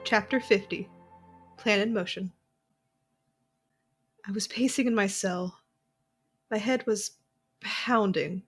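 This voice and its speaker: A woman's voice: medium pitch, takes it slowly, long pauses, husky, faint music behind. A woman playing a tough, irreverent young intelligence officer held captive, narrating her story bluntly in an audio drama. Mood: grim, frustrated.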